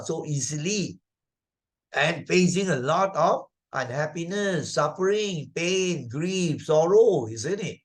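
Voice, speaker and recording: An older man with a Malaysian accent teaches a moral lesson conversationally, repeating key points with emphasis and checking in with frequent tag questions. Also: fairly high pitch. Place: online video presentation.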